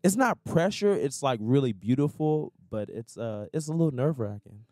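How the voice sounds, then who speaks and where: A soft-spoken man in his twenties, a musician guest talking earnestly in a casual interview, with lots of restarts and fillers. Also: mid-range voice, lively intonation, moderate pace, dry recording, clean studio sound. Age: 20s. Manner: earnest, rambling with many restarts and likes. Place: hotel-style living room, indoors. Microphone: boom mic on stand.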